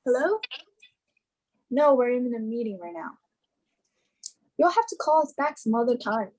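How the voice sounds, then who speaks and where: A woman's voice: on the high side, lively intonation, moderate pace, a little mellow, poor audio. A woman playing a flustered, defiant young receptionist in a comedy web series, informal and exasperated.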